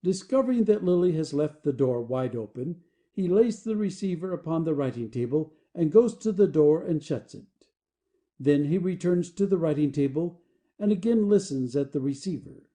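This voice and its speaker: A woman's voice: low-pitched, animated, moderate pace, mellow. A woman giving scripted narration, reading out the stage directions of an audio play.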